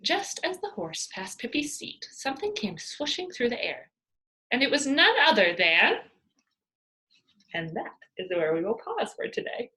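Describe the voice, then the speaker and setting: Animated woman reading to children. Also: on the high side, unhurried, poor audio. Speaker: teacher reading aloud. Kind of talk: expressive children's story read-aloud.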